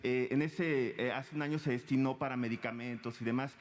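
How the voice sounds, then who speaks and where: A man's voice: medium pitch, steady tone, a little fast. A young man asking a press question at a briefing, hesitant with fillers, speaking into a handheld mic. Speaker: newspaper reporter. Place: large hall, seated in press area. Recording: reverberant hall.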